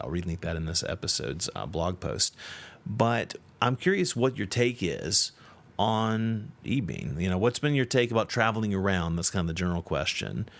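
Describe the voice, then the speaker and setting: A man hosting a casual podcast interview, speaking in a relaxed, chatty way from a hotel room into a shotgun movie mic. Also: low-pitched. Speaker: podcast host.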